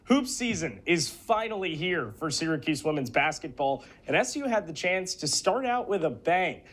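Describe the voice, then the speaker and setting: A man's voice: a little high, big pitch swings, normal speed, a bit bright. A man working as a sports reporter and announcer, delivering game news and commentary in a punchy, emphatic way.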